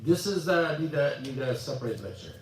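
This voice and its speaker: A man's voice: mid-range voice, lively intonation, a little fast, slight background noise. A man teaching a casual religious class in a mosque, in broken English, often repeating himself. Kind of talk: informal religious lesson. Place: mosque hall. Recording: echoey mosque hall, mic from far off.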